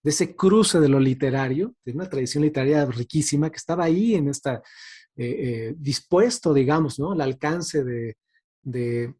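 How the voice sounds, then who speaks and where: A man's voice: fairly high pitch, normal speed, a little mellow, breathy edge. A man giving an informal academic talk, speaking thoughtfully and hesitantly and often checking for agreement. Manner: hesitant, thoughtful, frequently seeking agreement with tags. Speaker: guest speaker giving a talk on radio drama.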